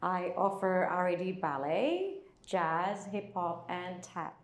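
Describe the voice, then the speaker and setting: A woman's voice: on the low side, slow pace. A woman who founded a dance academy, introducing herself briefly and playfully. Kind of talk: short panel introduction. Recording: echoey room with clear background noise, off-mic.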